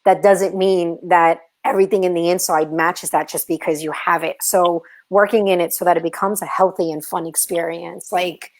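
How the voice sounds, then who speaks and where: A woman with a Brooklyn accent hosts a casual interview. Her voice sits a little low for a woman. She asks long, encouraging questions that wander as she thinks. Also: fairly even intonation, moderate pace, hardly any pauses. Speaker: host.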